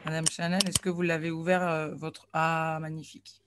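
A woman's voice: low voice, little pitch movement, moderate pace, background noise. A young woman hosting a web conference as its moderator over a video call. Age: young adult. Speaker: webinar moderator. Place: indoor room at home.

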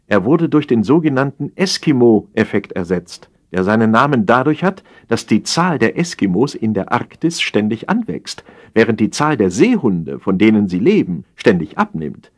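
A man's voice: on the low side, sing-song, normal speed, hardly any pauses. A man playing an opinionated café regular in a satirical story, explaining things slyly and pompously with emphasis. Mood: lecturing. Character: opinionated male café regular, slyly lecturing his friend.

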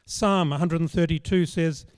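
Older male preacher, slightly high voice, measured and plain, close mic with long hall reverb. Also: fairly even intonation, a little mellow. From Australia. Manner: measured, storytelling, plain spoken. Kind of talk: sermon. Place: large church stage. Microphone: handheld microphone.

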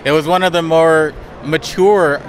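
A man's voice: a little high, fairly even intonation, a little slow, rough recording. A man in his thirties conducting a casual fan interview in an informal, appreciative manner. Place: busy convention hall booth. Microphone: handheld interview microphone. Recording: busy convention crowd behind voice.

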